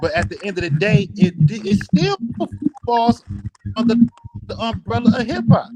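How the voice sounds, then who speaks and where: A man's voice: high voice, expressive, moderate pace, a little mellow, a little hiss or hum, low-quality recording. A man in casual podcast chat, explaining his point animatedly with examples.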